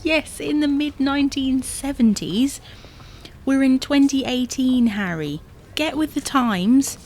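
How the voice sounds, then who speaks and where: A woman's voice: high voice, takes it slowly, a little mellow. A woman playing an exasperated, image-conscious wife who scolds and bosses in a domestic comedy audio drama. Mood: insistent. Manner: bossy, scolding, sarcastic.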